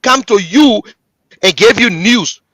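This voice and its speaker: A man's voice: high-pitched, expressive, takes it slowly, fairly bright tone, no echo, studio quality. A man with a Liberian English accent giving passionate political commentary as a talk show host, repeating lines and addressing listeners directly. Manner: impassioned, repetitive, rhetorical address to listeners.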